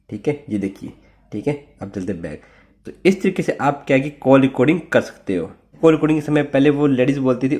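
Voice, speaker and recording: Male Indian tech tutorial host, medium pitch, informal and instructional, walks through steps with repeated check-ins. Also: darkish tone. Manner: instructional, repetitive, punctuated with check-in tags. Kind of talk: informal step-by-step phone tutorial voice-over.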